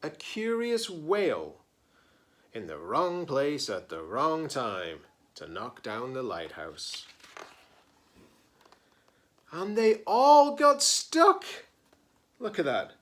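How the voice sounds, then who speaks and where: Slow, very animated man reading aloud. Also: a little high. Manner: playful, dramatic emphasis and pauses. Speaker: teacher-librarian reading a picture book aloud. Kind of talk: animated children's read-aloud with chatty asides.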